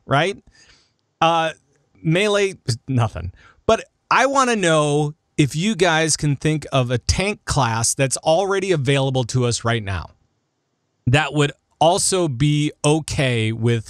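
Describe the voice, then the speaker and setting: A man's voice: a little high, a bit bright, no echo, studio quality. A man hosting a casual gaming panel chat, easygoing and given to repeating short phrases. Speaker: podcast host.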